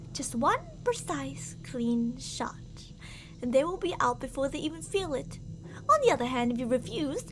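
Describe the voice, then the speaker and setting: A very high-pitched deranged yandere stalker. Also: female voice, poor audio. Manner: theatrical, possessive, swinging between coaxing and menacing. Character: deranged, obsessively jealous female stalker lover, murderous. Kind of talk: ASMR girlfriend roleplay acting. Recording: audible background noise.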